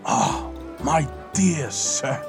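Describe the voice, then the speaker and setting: An actor playing a giddy, generous old reformed miser in a theatrical, effusive audio drama style. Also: male voice, a little high, animated, very slow, music in the background. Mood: joyful, effusive.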